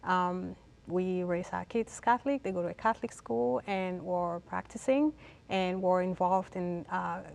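A woman's voice: lowish voice, a little slow, gravelly, a little mellow. A middle-aged woman from Cameroon with a Cameroonian accent, chatting as an interview guest. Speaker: guest, executive director. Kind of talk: casual interview conversation.

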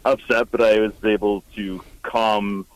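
Halting male caller, lowish voice. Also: fairly even intonation, dry recording, poor audio. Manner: halting, many fillers. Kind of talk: phone-in call. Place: car parked by roadside.

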